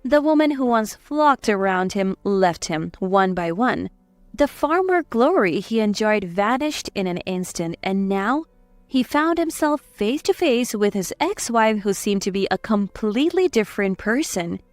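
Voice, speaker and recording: Woman, story narrator, scripted dramatic delivery, lively intonation, fairly high, dry sound. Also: a little slow, dry recording, faint music behind.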